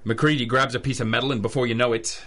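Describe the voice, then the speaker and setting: An actor playing a tough, wry private detective, dry and world-weary in old-time radio drama style. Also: male voice, medium pitch, fairly even intonation, quick pace, a bit bright, natural voice. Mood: dry, argumentative. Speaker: detective and narrator.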